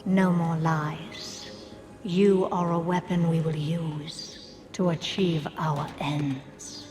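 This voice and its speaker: A cold, commanding game villainess speaks slowly in a lowish register. She is sardonic, bitter and menacing, her words carried on long reverb with music underneath. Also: little pitch movement. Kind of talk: dramatic game cinematic acting.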